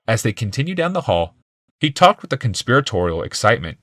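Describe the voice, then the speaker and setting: A man's voice: mid-range voice, sing-song, fast talker, a bit bright, no echo, studio quality. A man reading a fantasy audiobook as a dramatic scripted narration.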